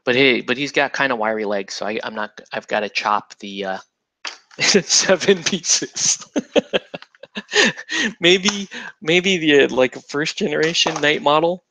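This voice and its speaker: A man's voice: fairly high pitch, unhurried, bright, forceful tone, slight background noise. A man hosting a miniatures hobby show, chatting casually while he builds models, rambling and joking with lots of fillers. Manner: breaks into laughter and puts on silly voices.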